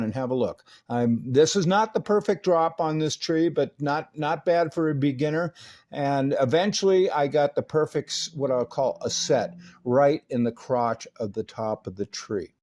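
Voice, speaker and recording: A man's voice: medium pitch, a little mellow. A man narrating his own hobby video in a casual, folksy and self-assured way, with slangy turns of phrase.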